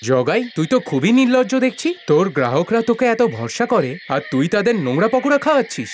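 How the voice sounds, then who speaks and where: A man's voice: high-pitched, big pitch swings, brisk, slight background noise. An actor playing a stern, angry male customer who scolds and accuses in a cartoon story. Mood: accusing. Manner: scolding, indignant.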